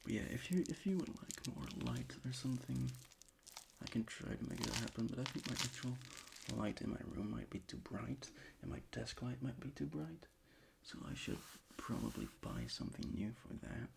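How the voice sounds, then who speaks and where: Gravelly whispering man, ASMR chat. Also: mid-range voice, sing-song, moderate pace. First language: not English. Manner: rambling, scatterbrained, often restarting; mostly whispered, soft and unvoiced. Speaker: ASMR creator. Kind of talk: soft-spoken ASMR chat. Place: bedroom at a desk. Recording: home recording with clear background noise. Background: crinkling and crumpling of objects.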